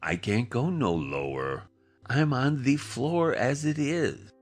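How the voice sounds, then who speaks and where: A man's voice: mid-range voice, animated, slow pace, faint music behind. A man playing a nervous, muddled poor hatter in an audio drama, rambling and apologetic. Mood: pleading, flustered.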